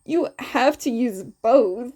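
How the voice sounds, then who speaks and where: A practical teenage boy in an audio story, read by a woman in a near-natural voice. He is confident and matter-of-fact, with a high, animated delivery. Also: takes it slowly. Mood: reassuring. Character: confident, practical teenage boy hero student, advising classmate.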